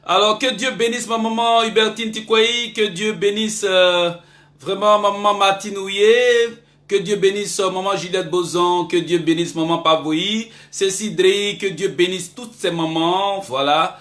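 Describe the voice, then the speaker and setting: A man with an Ivorian accent hosting a live show, speaking emphatically with repetitions and hesitant pauses as he gives thanks and announcements. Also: high voice, fairly even intonation, fairly bright tone. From Ivory Coast. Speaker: web radio-television host. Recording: echoey room.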